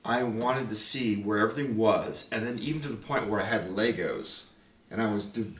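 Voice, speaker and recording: A man who hosts and produces the show, talking in a relaxed, loose way and often chuckling. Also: lowish voice, normal speed, slight background noise. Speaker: host and producer. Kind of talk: casual behind-the-scenes chat. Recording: echoey room, distant mic.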